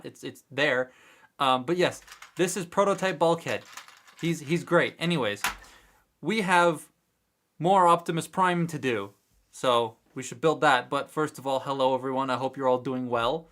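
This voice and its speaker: A man talking casually into a desk mic at home, still a bit congested. He rambles and restarts often, speaking to viewers at a middling pitch. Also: fairly bright tone. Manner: rambling, chatty, many fillers and restarts. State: congested, recovering from a cold. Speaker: toy review livestream host. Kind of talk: casual live chat while building a kit. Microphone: desk mic close by.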